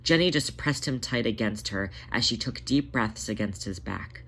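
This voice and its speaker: Animated, dramatic storyteller with swooping pitch. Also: normal speed, creaky. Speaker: narrator of fan fiction. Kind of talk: scripted dramatic story narration.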